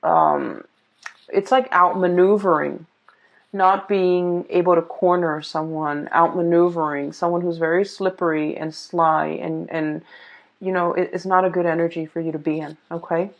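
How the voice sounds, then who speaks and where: A woman giving a casual off-the-cuff tarot reading, explaining things in a halting, hesitant way. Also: fairly low pitch, steady tone, gravelly. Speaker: tarot reader. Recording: dull, muffled lo-fi sound.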